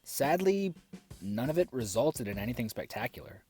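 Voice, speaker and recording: A man's voice: mid-range voice, big pitch swings, moderate pace, mellow, soft background music. A man giving a scripted review commentary with sarcastic, exasperated asides. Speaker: film reviewer.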